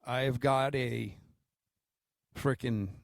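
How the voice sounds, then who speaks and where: An American man co-hosting sports radio, telling joking anecdotes in a casual, unhurried way. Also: medium pitch, fairly even intonation, creaky, no room sound, clean studio sound. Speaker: sports radio co-host and coach.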